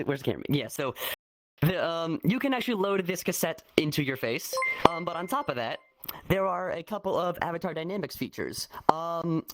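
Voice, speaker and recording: A man with a hoarse, raspy voice after an illness, chatting upbeat as he demonstrates his avatar on a stream. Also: on the high side, no room sound. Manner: upbeat, chatty demo with fillers. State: hoarse, just recovered from an infection. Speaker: avatar creator presenting his model. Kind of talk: casual live-stream showcase.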